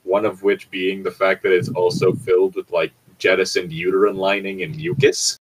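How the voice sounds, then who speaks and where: A man's voice: sits low, flat delivery, moderate pace, slight background noise, rough recording. A man bantering casually and reading aloud as a show host, with a sweary, exasperated manner.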